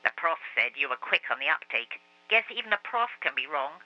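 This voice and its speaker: Streetwise talking bat, peevish and lazy, played by the reader; lower, drawling; lo-fi recording. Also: male voice, very animated, a little fast. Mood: knowing. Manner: slangy tough-guy drawl, calls everyone kiddo. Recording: dull lo-fi radio recording.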